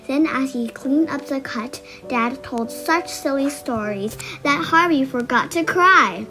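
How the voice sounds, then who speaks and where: A woman reading a children's picture book aloud in a gentle, expressive way. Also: high-pitched, measured pace, over music, rough recording. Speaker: storybook reader. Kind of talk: children's story read-aloud.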